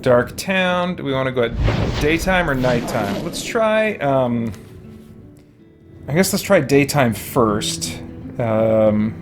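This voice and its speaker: A man giving casual gameplay commentary, rambling and reflective as he thinks out loud. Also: medium pitch, animated, slow pace. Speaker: host of a gameplay channel. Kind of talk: casual commentary on a roleplaying game playthrough. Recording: music playing behind the voice. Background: video game music.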